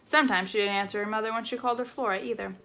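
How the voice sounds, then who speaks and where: A woman reading a children's chapter book aloud in an expressive storytelling style. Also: medium pitch, rapid-fire.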